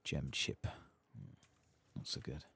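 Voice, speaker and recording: A man hosting an ASMR game playthrough, speaking softly and casually in a breathy murmur that often drops toward a whisper. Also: takes it slowly. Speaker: ASMR gaming channel host. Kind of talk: soft-spoken ASMR game playthrough narration.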